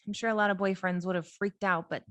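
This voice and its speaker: A woman's voice: medium pitch, steady tone, fast talker, fairly bright tone, dry recording, lightly acted. A woman playing an earnest, hopeful young amateur witch in a table read, speaking candidly and conversationally. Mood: appreciative, interested. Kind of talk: informal script table read.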